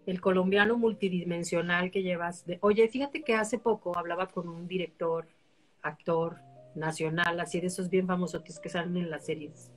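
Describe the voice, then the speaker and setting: Woman host, low-ish voice, chatty and animated, faint music under. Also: moderate pace, poor audio. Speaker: interviewer host. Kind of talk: casual live-stream conversation. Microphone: online live-stream.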